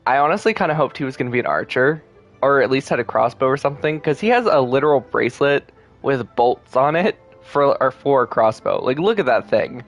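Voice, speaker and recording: A man's voice: medium pitch, animated, fairly quick, soft background music. A man doing casual livestream game commentary in an informal, joking way. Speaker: game streamer.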